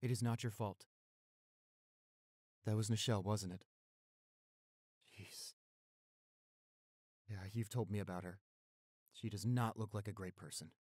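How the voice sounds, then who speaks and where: A man's voice: on the low side, fairly even intonation, a little slow, pauses often, darkish tone, no echo, studio quality. A man playing a protective, tender young boyfriend who turns fierce toward bullies, in an intimate audio roleplay. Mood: soothing, worried. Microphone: close studio microphone.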